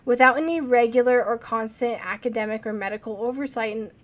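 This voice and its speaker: Young woman podcast host, kinda high voice, earnest, reading report quotes then chatting. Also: fairly quick. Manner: earnest, questioning, reads quotes aloud. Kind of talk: casual true-crime podcast chat, reading from reports.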